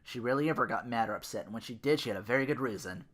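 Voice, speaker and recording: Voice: mid-range voice, racing, a bit bright. A podcast host reading fan fiction theatrically, breaking off now and then for casual asides. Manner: theatrical, self-interrupting.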